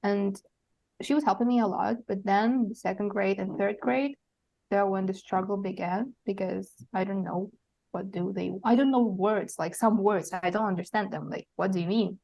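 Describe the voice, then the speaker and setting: Young woman guest from Kazakhstan, warm mellow tone, rambling casual storytelling. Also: medium pitch, fairly even intonation, moderate pace, gravelly, lo-fi sound. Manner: meandering, full of fillers and restarts. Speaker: podcast guest.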